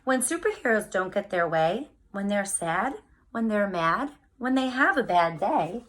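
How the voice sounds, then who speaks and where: A woman reading a picture book aloud to children, speaking to them directly with animated, drawn-out emphasis on key words. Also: medium pitch. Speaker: read-aloud storyteller for children.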